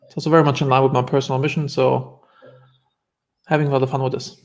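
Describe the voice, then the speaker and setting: A man's voice: medium pitch, level tone, fast talker. A man with a German accent, a guest entrepreneur telling stories in an earnest, reflective way during a casual interview.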